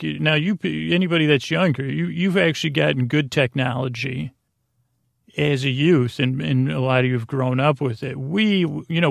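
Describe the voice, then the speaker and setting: A man in his forties hosting a sleep podcast, rambling casually, halting, repeating himself and trailing off mid-thought. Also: fairly high pitch, gravelly, dry recording, studio quality. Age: 40s. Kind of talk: rambling casual bedtime monologue.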